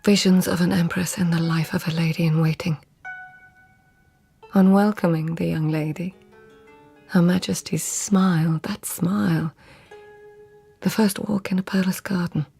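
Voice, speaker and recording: An actress playing an intense, devoted lady of an old Japanese court in a radio drama, husky and hushed, speaking with deliberate care. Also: fairly low pitch, fairly even intonation, faint music behind, heavily acted. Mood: tender, wistful. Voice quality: husky, often breathy near-whisper. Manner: hushed, deliberate, haunted storytelling. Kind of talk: literary radio drama acting.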